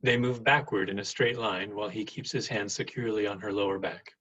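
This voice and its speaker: A man reading stage directions as scripted narration, with a husky voice. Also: fairly low pitch, fairly even intonation, brisk, pushed and bright, highs cut off. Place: indoors, on a video call.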